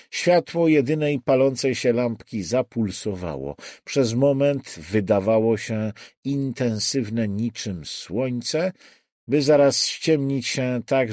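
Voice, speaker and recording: A man reading a thriller audiobook as scripted dramatic narration, deliberate and suspenseful in his delivery. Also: lowish voice, darkish tone, dry recording, studio quality.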